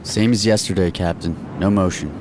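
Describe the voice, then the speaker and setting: A man playing a loyal, dry-witted sergeant major in a radio drama, laconic and deadpan. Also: low voice, fairly quick, background noise, natural voice. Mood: dry, businesslike. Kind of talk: post-apocalyptic radio drama acting.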